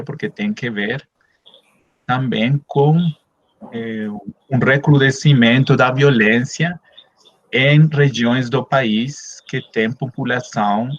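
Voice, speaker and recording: A man from Colombia, a Spanish native speaker, giving an academic talk in a second language over a video call, hesitant with many drawn-out fillers. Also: medium pitch, a bit bright. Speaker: guest speaker, anthropologist. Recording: dull lo-fi video call audio.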